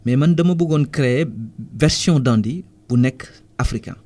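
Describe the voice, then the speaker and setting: A Senegalese man, a musician guest in a radio studio interview, explaining his ideas thoughtfully with frequent pauses and restarts. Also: fairly high pitch, warm tone.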